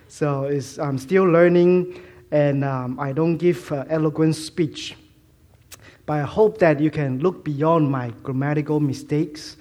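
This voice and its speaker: A middle-aged man from Malaysia preaching a sermon in English, with a mixed Malaysian, Hong Kong and Fuzhou accent, halting with many fillers and restarts and self-deprecating humor. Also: on the high side, mellow. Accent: Malaysian Chinese English, mostly Fuzhounese-tinged.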